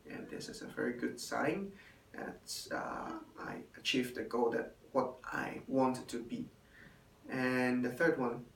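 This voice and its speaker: A young man, a hotel intern and native Chinese speaker, giving a halting spoken evaluation in English with many fillers. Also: medium pitch. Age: young adult. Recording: roomy, distant, faint room noise.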